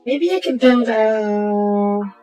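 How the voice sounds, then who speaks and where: A woman with a slightly hoarse voice, casually talking through a strategy game and muttering to herself as she plays. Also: on the high side, lively intonation, very slow, soft background music. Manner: muttering to herself while playing, trailing off into quiet asides. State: hoarse from a sore throat. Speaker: gaming channel host. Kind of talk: casual gameplay commentary.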